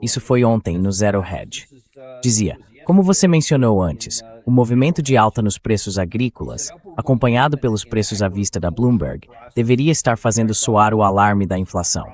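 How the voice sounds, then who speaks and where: A man's voice: lowish voice, animated, normal speed, darkish tone. A man as the translated voice of an interview host, talking through political commentary in a conversational, wordy way.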